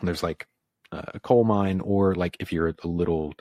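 A man's voice: sits low, moderate pace, a little mellow, dry recording, studio quality. A man in his thirties from the American South, a podcast guest talking casually, rambling with many fillers and restarts. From Southern United States. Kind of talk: casual conversation.

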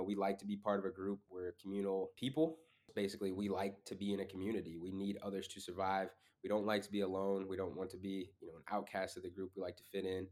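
A man with a lowish voice hosts his own fitness podcast while stuffed up and a little sniffly. He talks in an easygoing, conversational way, filling pauses with ums and uhs. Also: darkish tone. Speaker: podcast host. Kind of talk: casual solo podcast talk.

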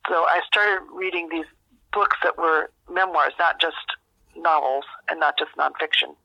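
An older American woman giving a reflective interview over a landline phone, speaking in a halting, thoughtful way as a guest. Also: low voice, steady tone, vocal fry, sharp tone, no room sound. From rural United States. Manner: halting, thoughtful, with long searching pauses. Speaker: interview guest, author and memoir teacher. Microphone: landline telephone. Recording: dull, narrow landline phone sound.

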